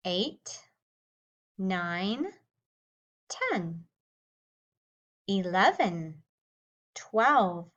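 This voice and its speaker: A woman teacher giving a slow, clearly spoken English lesson to young learners, drawing out each word. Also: medium pitch.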